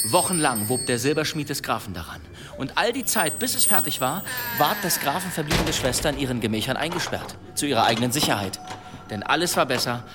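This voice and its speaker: An actor playing a boastful monster hunter telling tales in an archaic, theatrical manner in an audio drama. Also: male voice, mid-range voice, close to an everyday voice. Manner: theatrical, dramatic pauses, savouring his tale. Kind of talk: German audio drama storytelling, archaic wording.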